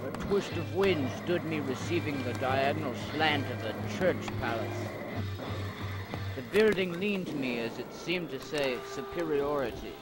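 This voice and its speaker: A man playing an awestruck soul journeying through the afterlife in an amateur audio drama, speaking in halting, portentous monologue. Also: on the high side, lively intonation, natural voice, poor audio. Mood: solemn, poetic. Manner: halting, theatrical, portentous delivery. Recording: old cassette tape, loud music behind.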